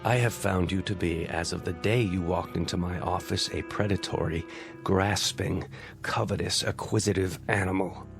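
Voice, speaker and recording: A man's voice: low voice, steady tone, normal speed, gravelly, music in the background. An actor playing a stern, embittered old businessman in an audio drama, speaking formally with precise, disdainful diction. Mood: contemptuous.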